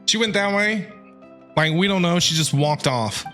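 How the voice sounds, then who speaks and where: A woman's voice: on the low side, animated, moderate pace, music in the background. A woman streamer giving casual, offhand reactions while playing a game. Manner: chatty, offhand. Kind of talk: casual gameplay reaction commentary.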